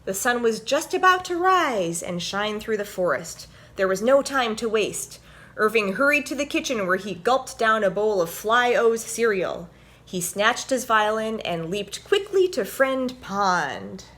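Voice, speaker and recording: A woman's voice: fairly high pitch, animated, a little slow, a bit bright. Woman presenting a children's story time. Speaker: host and story reader, orchestra violinist. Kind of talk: children's storybook reading and friendly explaining to children.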